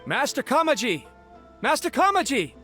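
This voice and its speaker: An actor playing an anxious, deferential young retainer in a video game, speaking humbly and formally with a nervous hesitancy. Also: male voice, very high-pitched, sing-song, normal speed, pushed and bright, soft background music, heavily acted. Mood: alarmed calling.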